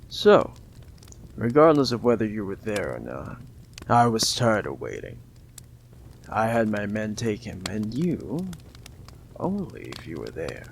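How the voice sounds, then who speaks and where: A man voicing a possessive, menacing mob boss in an audio roleplay, gravelly and slow, coldly affectionate and often whispering. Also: on the low side, huge pitch swings, a little hiss or hum, exaggerated voice. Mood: menacing calm.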